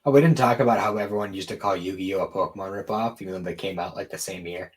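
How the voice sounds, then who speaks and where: Low-voiced man chatting casually on a podcast. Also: fairly even intonation, quick pace. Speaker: podcast co-host. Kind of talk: casual chat about anime and games.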